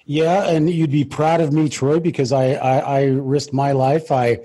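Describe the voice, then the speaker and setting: A man's voice: on the high side, flat delivery, measured pace, warm tone, husky. An American man hosting an interview in a conversational, informal way, often restarting and adding personal asides. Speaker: interview host.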